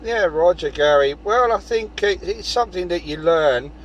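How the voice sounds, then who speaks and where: A man's voice: high voice, lively intonation, moderate pace, muffled recording. A man with a British accent talks in a rambling, conversational way, as an amateur radio operator in a casual chat. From United Kingdom. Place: in a parked vehicle outdoors. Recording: clear vehicle and outdoor background noise.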